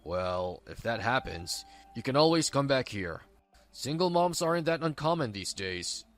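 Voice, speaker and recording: A man's voice: medium pitch, very animated, measured pace, a bit bright, faint music behind. A man voicing an older father, humbled and supportive, in a manga dub. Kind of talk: scripted manga dub dialogue.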